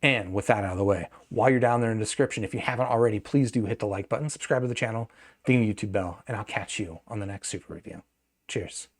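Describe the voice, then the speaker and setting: A man's voice: on the low side, fast. A man giving a casual, off-the-cuff product review in a conversational, opinionated way, adding asides as he goes. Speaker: audio gear reviewer and video host.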